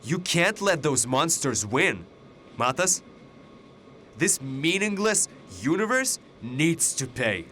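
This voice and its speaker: Guy playing intense bitter older man in a radio drama, big swings in pitch, train noise behind. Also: very animated, slow, bright, forceful tone, full character voice. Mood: desperate pleading. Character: older, bitter, zealous alternate self of a student.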